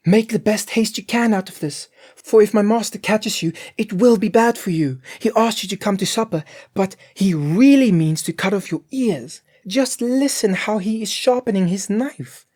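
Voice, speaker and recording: A woman's voice: medium pitch, normal speed, few pauses, warm tone, pitched above the performer's own voice, natural voice. A storyteller voicing a cheeky, greedy female cook from a storybook, merry, emphatic and full of excuses for herself. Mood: urgent. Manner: emphatic, exclaiming. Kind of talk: storybook character voice.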